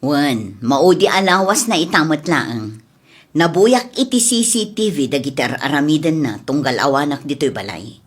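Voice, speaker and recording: A woman playing a composed, firm wife and household employer in a domestic drama, speaking in a measured way. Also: low voice, expressive, slightly rough. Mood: composed, resolved. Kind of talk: domestic audio drama acting.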